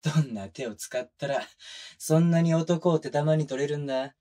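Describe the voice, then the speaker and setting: A man's voice: on the high side, normal speed, dark tone, natural voice. A man playing a sadistic detective, one of twins, taunting a suspect in a drawling, needling way in a close-miked ASMR voice drama. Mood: accusing, scornful. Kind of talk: Japanese situation voice drama, binaural ASMR. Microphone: close binaural microphone.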